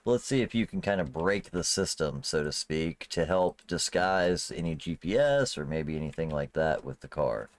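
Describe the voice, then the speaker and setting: A man's voice: a bass voice, animated, normal speed, hardly any pauses. A man improvising narration as game master of a tabletop role-playing game, in a conversational way, often checking that he is understood. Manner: conversational, often asks "you know what I mean".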